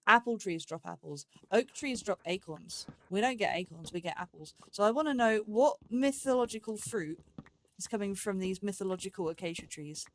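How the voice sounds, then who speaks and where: A woman's voice: medium pitch, expressive, normal speed, a little hiss or hum, rough recording. A woman giving casual, rambling gameplay commentary, thinking aloud with a playful, self-chiding manner. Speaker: let's play host.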